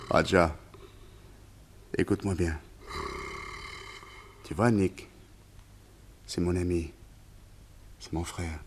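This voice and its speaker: A man's voice: low-pitched, fairly even intonation, slow pace, long pauses, a little hiss or hum. An actor playing an anxious, haunted man in a French radio play, earnest, brooding and confiding. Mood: tender, solemn. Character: anxious, haunted man, devoted to his pet tiger.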